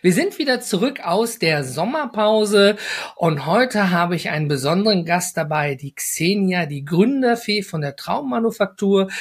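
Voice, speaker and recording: Chatty man hosting a business podcast. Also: sits high. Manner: chatty, filler-heavy, loose run-on questions. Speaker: podcast host. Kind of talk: casual business podcast interview.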